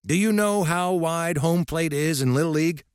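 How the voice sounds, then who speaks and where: A man voicing a gruff, fiery old baseball coach who barks and bellows at a crowd. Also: a little high, moderate pace, dry recording, studio quality, pitched above the performer's own voice, natural voice. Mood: barking. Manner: barking, bellowing.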